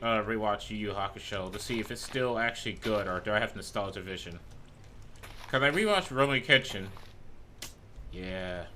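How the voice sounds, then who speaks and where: A man's voice: lowish voice, sharp tone, a little hiss or hum. A man streaming a game in a loose, rambling chat who swears freely, and who is losing his voice. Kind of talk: casual gameplay chat.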